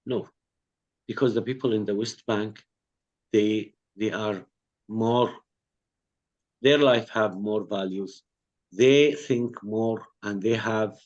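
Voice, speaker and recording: Man, lowish voice, earnest, halting repetitions, dull lo-fi call audio. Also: slightly rough. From Palestine. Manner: earnest, halting, repeats words as he searches for phrasing. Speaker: co-director of a peace network, panel speaker. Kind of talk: online panel talk.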